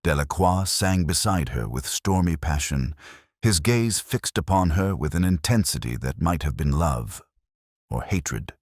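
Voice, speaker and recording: Male audiobook narrator, extremely low and husky, solemn and dramatic, with lively intonation and a dry, close sound. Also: dry recording. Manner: solemn, theatrical, deliberate phrasing. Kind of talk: dramatic scripted narration of a period mystery.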